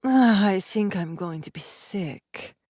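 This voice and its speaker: A woman playing a cold, no-nonsense warrior in an audiobook drama, speaking flatly, firmly and curtly. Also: medium pitch, slow pace, husky, no echo, studio quality. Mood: disgusted. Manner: flat, firm, curt. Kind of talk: cinematic audiobook character acting.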